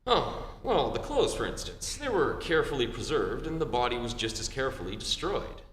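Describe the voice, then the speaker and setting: A wry, teasing private eye in an old-time radio play. His pitch moves around freely as he wisecracks. It sounds like a live stage in an echoey hall, with faint noise. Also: very animated, fairly quick, a bit bright. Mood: confident. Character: wry, unhurried male private detective, fond of his wife. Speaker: amateur stage actor. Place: live theatre performance, heard in a hall.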